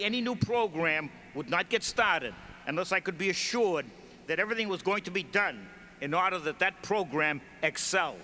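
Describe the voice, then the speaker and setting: Older man, commencement speech, bright high voice, podium mic, long hall reverb, faint room noise. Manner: measured, reads from notes, lists in steady cadence. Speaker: commencement speaker, former school staff. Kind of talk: formal commencement address. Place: large gymnasium stage at a podium. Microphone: podium microphone.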